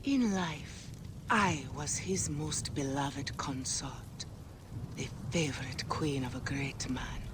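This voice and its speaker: An actress playing a solemn, devoted, ghostly Egyptian queen in a video game, with a stately, breathy delivery. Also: low-pitched, slow, noisy background. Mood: reverent, mournful. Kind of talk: video game cutscene acting. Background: music.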